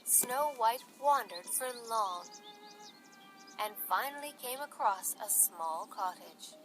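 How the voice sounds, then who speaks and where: Slow, high-voiced woman telling stories. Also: lively intonation, a bit bright. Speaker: storyteller. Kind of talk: scripted children's bedtime story narration. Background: music bed.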